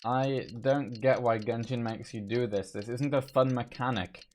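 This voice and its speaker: A man's voice: medium pitch, steady tone, unhurried, slight background noise. A man doing casual live gameplay commentary as a streamer, reacting aloud and muttering to himself. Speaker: game streamer.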